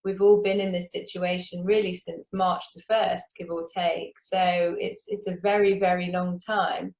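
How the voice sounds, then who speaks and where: A woman's voice: on the low side, little pitch movement, measured pace, a little mellow. A woman doctor giving informal advice as an interview guest, chatty and conversational. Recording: roomy, echoey video call.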